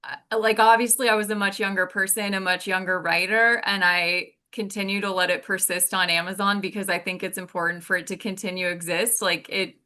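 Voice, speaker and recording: A woman with a bright, crisp-edged voice at a medium pitch, talking as a guest in a casual interview. She explains things in an informal, encouraging way. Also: steady tone, a little fast. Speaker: podcast guest, author and storyteller.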